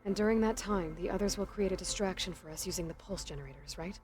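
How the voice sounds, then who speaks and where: A woman's voice: lowish voice, fast talker, a little mellow, lightly acted. An actress voicing an earnest, direct young woman hunter in a video game.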